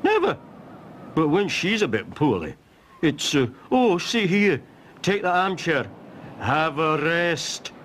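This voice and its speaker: A man's voice: on the high side, very animated, slow, fairly bright tone, slight background noise, pitched above the performer's own voice, full character voice. A man voicing a lazy, self-pitying male assistant lighthouse keeper who moans and grumbles. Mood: grumbling.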